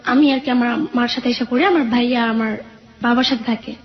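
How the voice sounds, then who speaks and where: An 18-year-old woman telling her own life story in a radio interview, hesitant and soft-spoken. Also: on the high side, steady tone, fairly quick, muffled recording. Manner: hesitant, soft-spoken, with frequent "mane" fillers.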